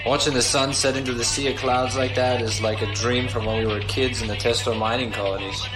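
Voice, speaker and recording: A man's voice: medium pitch, fairly even intonation, fairly quick, natural voice. A man acting a cheerful, teasing best friend in an audio drama, talkative, slangy and joking. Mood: jovial, teasing. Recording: music behind the voice.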